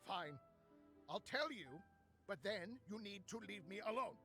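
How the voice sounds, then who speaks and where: A man's voice: high voice, expressive, a little slow, pushed and bright, soft background music, heavily acted. A man voicing an irritable, defensive inventor in a video game, curt and grudging. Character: irritable, defensive male inventor, grudgingly cooperative villain henchman.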